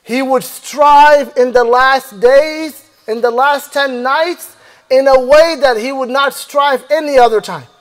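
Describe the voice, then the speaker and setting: A man in his thirties preaches a Friday sermon from the mosque pulpit with a high, clear voice. He speaks into a stand microphone in a measured preaching cadence. The long reverb of the prayer hall trails each phrase. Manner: measured preaching, recites Arabic verses rapidly then translates slowly. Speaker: imam. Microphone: stand mic and lapel mic.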